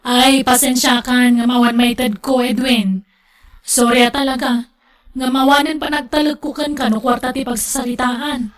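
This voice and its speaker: A woman's voice: sits high, steady tone, normal speed, natural voice. A woman with a northern Philippine accent playing a firm, blunt working wife and mother in a radio drama. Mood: coolly apologetic. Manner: blunt, scolding.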